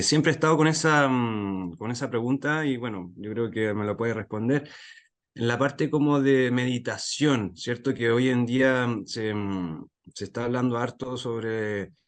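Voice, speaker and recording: Halting Chilean man asking a question. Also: medium pitch. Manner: hesitant, halting, many fillers. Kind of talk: casual question in an online class.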